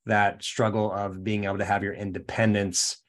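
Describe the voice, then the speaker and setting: A man's voice: fairly low pitch, flat delivery, normal speed. A man hosting a podcast, politely affirming and thanking his guest. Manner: affirming, courteous. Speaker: podcast host. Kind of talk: interview wrap-up.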